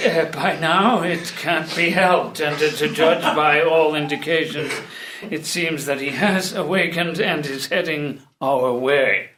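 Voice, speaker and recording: A man's voice: on the high side, noisy background. A man reading the part of a dutiful, honour-bound royal tutor in a classical play, courtly and slightly hesitant in delivery. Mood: deferential. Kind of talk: unrehearsed dramatic play reading of classical comedy. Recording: echoey room.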